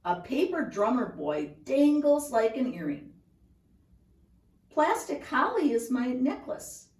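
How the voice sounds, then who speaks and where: A woman reads a children's book aloud at a very unhurried, deliberate pace. Her voice sits fairly high. It is recorded in a reverberant room at home, some distance from the microphone. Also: big pitch swings, a little mellow. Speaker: storybook reader. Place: indoors at home.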